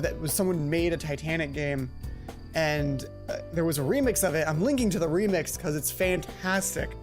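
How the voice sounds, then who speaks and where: A let's play host chatting casually in a rambling, self-correcting way. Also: mid-range voice. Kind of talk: casual chat and reading a visual novel aloud. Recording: music behind the voice. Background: game music.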